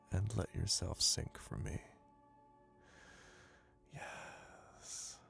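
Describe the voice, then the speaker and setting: A man plays a young hypnotist classmate with a low voice full of heavy vocal fry. He is confident and dominant, guiding in a soft, soothing ASMR manner. His delivery is slow and deliberate. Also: heavily acted. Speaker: voice actor.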